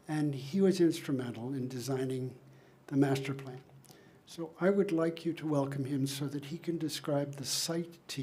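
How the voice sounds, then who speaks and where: An older man, an architect, presenting plans at a public meeting in a soft, unhurried, folksy way, moving around away from the microphone. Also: medium pitch, slight background noise. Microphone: shared microphone, moving away from it.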